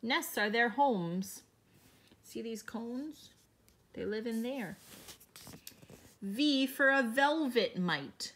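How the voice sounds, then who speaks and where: A woman teacher reading a picture book aloud to young children, explaining each page in a gentle way. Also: a little high, animated, extremely slow, pauses often.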